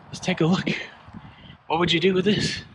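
A man's voice: high-pitched, level tone, normal speed. Chatty middle-aged man walking in the rain. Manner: chatty, self-deprecating, asks viewers questions. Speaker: walking vlog host. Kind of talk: casual walking vlog. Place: outdoor park in rain and wind. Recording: wind and outdoor noise on a body camera.

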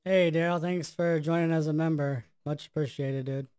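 A man's voice: on the high side, fairly even intonation, moderate pace, dark tone, clear, resonant tone, dry recording, soft background music. A man co-hosting a live stream, dropping dry one-liners and thanking new members. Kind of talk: casual stream banter.

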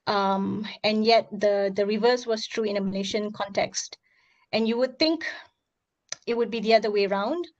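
A hoarse woman on a video call, asking an academic presenter several careful questions with many pauses. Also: mid-range voice, highs cut off. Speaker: audience member asking questions.

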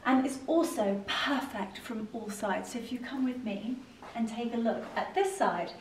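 A young woman with a fairly high voice talks as a lifestyle vlogger showing viewers around. She is effusive and enthusiastic in her delivery. The sound is roomy and comes from a camera a few steps away. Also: a little slow. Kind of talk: house tour vlog presenting. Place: large rooms inside a house. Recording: roomy, distant camera mic, noisy.

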